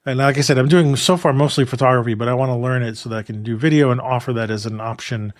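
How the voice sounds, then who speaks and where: A man from New York co-hosting a photography podcast, chatting in a relaxed, rambling way with many restarts and laughs. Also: medium pitch, fast talker, dry recording, studio quality. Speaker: podcast co-host. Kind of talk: casual chat.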